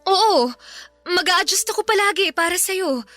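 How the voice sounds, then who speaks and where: A woman's voice: very high voice, animated, measured pace, bright, forceful tone, no room sound, soft background music, heavily acted. A woman playing a clingy, earnest young woman pleading with her ex in a Filipino radio drama, sometimes hesitant.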